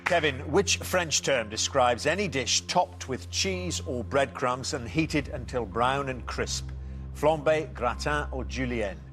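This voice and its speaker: A man's voice: medium pitch, lively intonation, fairly bright tone, faint music behind. A man hosting a TV quiz show, reading out questions and bantering lightly with contestants. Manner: brisk, reads questions clearly, light banter.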